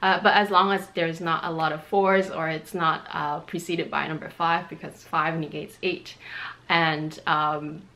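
A young woman from Montreal whose first language is Cantonese, chatting casually to camera in a bright room with lots of fillers and hand gestures. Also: low voice, little pitch movement, a little slow. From Canada (Montreal). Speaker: beauty and fashion vlogger. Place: bright bedroom indoors.

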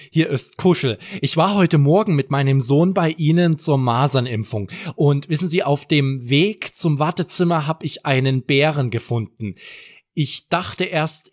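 Man doing a busy caring mom in a kids bedtime story, polite, reassuring, bit higher voice. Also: a little mellow, lightly acted. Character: caring busy mother of a small boy.

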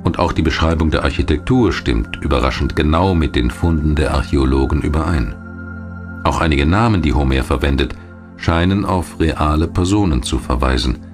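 A man narrating a scripted history documentary in a measured, articulate storytelling manner. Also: very deep voice. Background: music.

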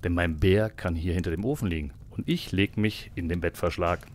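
A man plays an easygoing wandering bear-catcher from a storybook tale. His voice is very low and unbothered. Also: brisk, a little hiss or hum, lightly acted. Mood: reassuring. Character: easygoing travelling bear-catcher asking for lodging.

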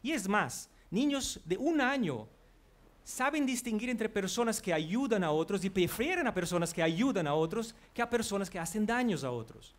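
A man's voice: sits high, big pitch swings, moderate pace. A man in his forties from Brazil, a native Portuguese speaker with a Brazilian accent, giving an earnest psychology lecture to a large auditorium on a headset mic. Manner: earnest, walks the stage while talking. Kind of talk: public lecture. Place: large auditorium before an audience.

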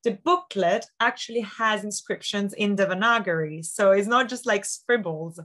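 A woman's voice: mid-range voice, normal speed, fairly bright tone. A woman in her thirties, an auction house specialist, talking with enthusiasm and many fillers on a video call from a study at home. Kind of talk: expert explaining art in a video call interview. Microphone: video call webcam.

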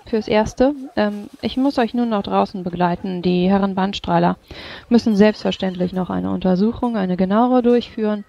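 A woman's voice: mid-range voice, moderate pace, vocal fry, warm tone. A woman running a tabletop roleplay as game master, speaking politely, formally and with some hesitation. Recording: dull lo-fi microphone.

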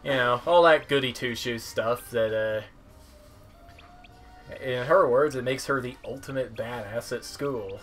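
A man's voice: mid-range voice, unhurried, a bit bright. A young man giving casual, wry, rambling commentary on a video game. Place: indoor room at home. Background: game music.

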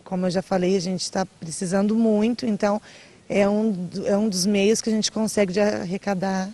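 A woman's voice: mid-range voice, fairly even intonation, normal speed, mellow. A young woman being interviewed outdoors, answering in a casual, chatty way. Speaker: marketing manager of a charity. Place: outdoors by a brick wall.

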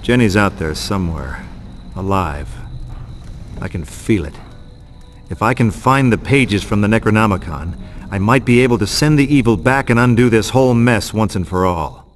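An actor playing a weary, tough, wisecracking horror game hero, deadpan with dramatic pauses. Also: male voice, on the low side, a little hiss or hum, lightly acted. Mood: grim. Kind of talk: scripted first-person horror game narration. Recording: loud music behind voice.

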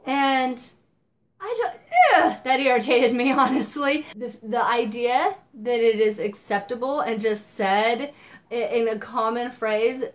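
Animated young woman with a cold. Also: a little high, unhurried. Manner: animated, exasperated, gestures a lot. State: coming down with a cold, slightly hoarse. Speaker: book reviewer. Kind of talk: casual vlog book review. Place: home office with desk and monitors.

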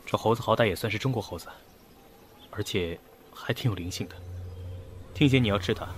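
Low-voiced, calm educated man played by an actor. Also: fairly quick, background noise, lightly acted. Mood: calm, pensive. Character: educated, calm, kind-hearted male official on the run. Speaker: voice actor in an audio drama. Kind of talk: Chinese audio drama acting.

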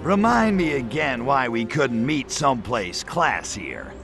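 A man's voice: medium pitch, big pitch swings, a little slow, over music. A man playing a cocky, slangy, wisecracking alien invader in a video game cutscene. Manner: brash, slangy wise guy.

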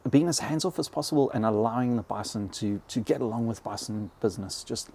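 A man's voice: mid-range voice, fairly quick, creaky, warm tone, slight background noise. A South African man in his fifties talking in a podcast interview, hesitant and often repeating words. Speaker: bison ranger, podcast guest. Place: small log cabin. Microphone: close podcast mic on boom arm.

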